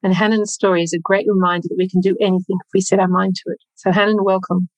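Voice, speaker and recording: A woman's voice: medium pitch, little pitch movement, quick pace, no echo, rough recording. A woman hosting an interview podcast, reading an upbeat scripted introduction before questioning her guest. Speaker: podcast host.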